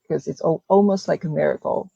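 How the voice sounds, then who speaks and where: Gravelly woman, mellow voice. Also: low-pitched, dry recording, highs cut off. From Taiwan. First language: Mandarin. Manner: halting, restarts phrases often. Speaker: guest writer. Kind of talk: casual interview chat.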